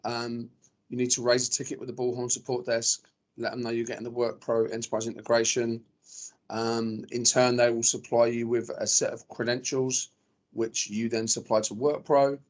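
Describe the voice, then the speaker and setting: A man's voice: medium pitch, level tone, normal speed. A man hosting and presenting a webinar in a casual, informal manner.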